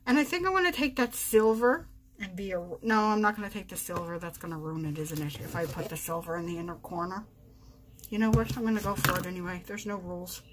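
A woman's voice: lowish voice, fast, a little hiss or hum, poor audio. A woman in her forties doing her makeup on camera in her bedroom, chatting casually, poking fun at herself, and talking through each step. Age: 40s. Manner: chatty, self-mocking, narrates each step. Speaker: vlogger. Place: bedroom at home.

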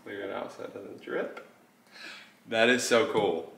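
A man's voice: lowish voice, steady tone, unhurried, vocal fry, fairly bright tone. A man in his thirties chatting casually in a laid-back, teasing way, picked up by a camera in a kitchen. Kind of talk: casual chat. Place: kitchen of a house. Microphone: off camera and a few feet from handheld camera. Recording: echoey room, picked up by camera.

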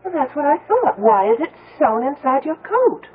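An actress playing a sensible, skeptical grown daughter in a 1970s radio drama, earnest and questioning. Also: female voice, sits high, sing-song, normal speed, phone-quality sound. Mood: probing. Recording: band-limited old radio broadcast.

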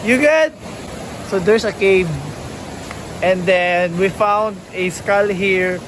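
A man's voice: high-pitched, slow. A young man with a Filipino accent, teasing and joking playfully on a casual vlog outdoors. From Philippines. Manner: playful, teasing, repeats words. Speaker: vlogger. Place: outdoors at a waterfall and forest. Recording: noisy outdoor camera audio.

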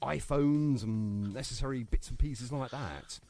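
A man's voice: mid-range voice, unhurried, dark tone. A man hosting a casual radio interview, chatty and jokey. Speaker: radio host. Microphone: phone line to guest.

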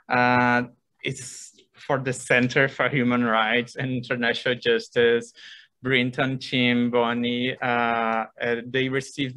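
A Brazilian man speaks English as a second language, with a Brazilian Portuguese accent. His voice is flat and level. He lectures earnestly but haltingly and pauses to find his words. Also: mid-range voice. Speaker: visiting professor and guest lecturer. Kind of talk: academic lecture.

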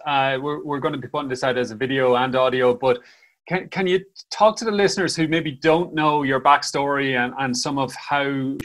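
An Irish man hosting a podcast and asking gentle, probing questions over a video call. His pitch sits in the middle range and his delivery is calm and unhurried. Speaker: podcast host. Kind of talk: interview questions. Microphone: video call webcam.

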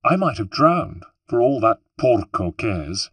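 A man's voice: medium pitch, very animated, a little slow, fairly bright tone, slightly rough, dry recording, heavily acted. A man voicing a spirited, imperious younger noblewoman in a storybook, scolding and commanding. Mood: admiring.

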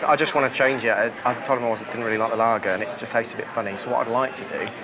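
British male, thirties, quick casual delivery, prank presenter, loud pub crowd and music behind. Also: medium pitch, steady tone. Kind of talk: casual hidden-camera prank narration and chat. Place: busy pub.

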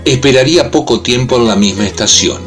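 A man's voice: mid-range voice, expressive, fairly quick. A man delivering scripted narration for a radio soap opera. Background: music.

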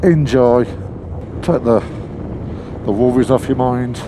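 A British man talks casually into a helmet mic while he rides a motorcycle. His voice sits in a middle pitch, and he rambles and pokes fun at himself. Steady wind and road noise run behind everything he says. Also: slow. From Britain. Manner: rambling, self-mocking, scatterbrained. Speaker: motorcycle vlogger. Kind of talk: casual helmet-cam riding commentary. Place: on a moving motorcycle outdoors. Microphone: helmet-mounted mic.

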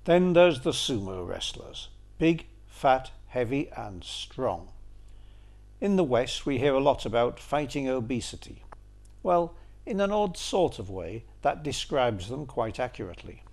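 An older man giving an illustrated lecture, with dry, wry asides. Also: medium pitch. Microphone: seen on camera briefly, then voice-over.

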